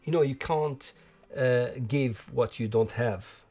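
A man in his forties with an Algerian accent, speaking in a documentary interview at home with many pauses and restarts. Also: mid-range voice, mellow. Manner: halting, many restarts and fillers. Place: living room at home. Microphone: lapel.

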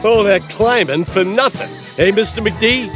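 A man's voice: high voice, unhurried. A man playing a goofy, loyal big pilot sidekick in a cartoon game, talking in a folksy way. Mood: wry. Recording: game cutscene with music underneath.

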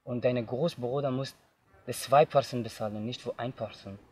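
A young man from Afghanistan, telling his own story outdoors in simple, halting learner's German. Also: mid-range voice, fairly even intonation, slightly rough. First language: not German. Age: young adult. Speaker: interviewee. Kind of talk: personal testimony. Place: outdoors in tall grass.

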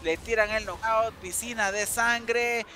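A man from El Salvador with a Salvadoran Spanish accent, casting a live game tournament in a joking, excitable, slangy way. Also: high voice, a little slow. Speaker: esports caster.

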